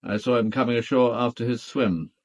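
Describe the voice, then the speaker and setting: An actor with a British accent playing a reserved, unruffled gentleman in a radio drama, terse and matter-of-fact. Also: mid-range voice, fairly quick, dull audio, close to an everyday voice.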